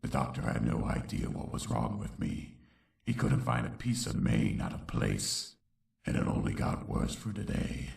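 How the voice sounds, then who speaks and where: Very deep, gravelly brooding unicorn. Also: male voice, big pitch swings, normal speed, darkish tone, heavily acted. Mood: sorrowful. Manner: brooding, dramatic. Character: grim adult male unicorn recounting his troubled youth. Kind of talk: dramatic first-person story narration. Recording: roomy echo, distant voice.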